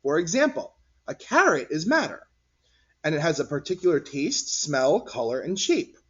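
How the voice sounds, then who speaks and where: A man reading a picture book aloud to children in an animated, husky voice. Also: fairly high pitch, normal speed. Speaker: read-aloud storyteller. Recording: dull, lo-fi recording.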